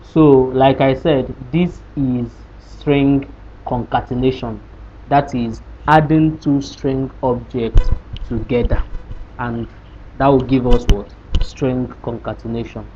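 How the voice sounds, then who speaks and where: A man's voice: medium pitch, steady tone, slow pace, mellow. Man, coding tutor, step-by-step explainer, rhetorical questions, dull lo-fi audio, faint hiss. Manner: explanatory, walks through code step by step, asks rhetorical questions. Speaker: programming tutor. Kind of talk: screen-recorded coding lesson.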